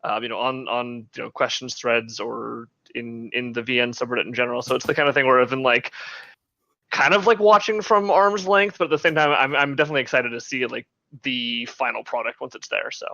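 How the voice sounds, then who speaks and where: A man's voice: medium pitch, a little fast, gravelly, pushed and bright, no echo. A man hosting a podcast, chatting casually over a dry, slightly dull voice-chat recording. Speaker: podcast host.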